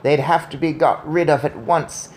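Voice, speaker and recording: A woman voicing a fierce, bossy, excitable old grandmother in an emphatic, theatrical storybook reading. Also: deep voice, moderate pace, slight background noise, pitched above the performer's own voice, lightly acted. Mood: impatient, fierce. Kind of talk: storybook read-aloud.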